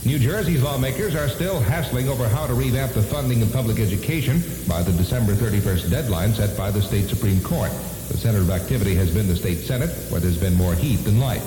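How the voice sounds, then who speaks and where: A man's voice: fairly low pitch, fast talker. A man anchoring a radio news bulletin, reading from a script on 1970s AM radio. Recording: hissy 1970s AM radio, reverberant.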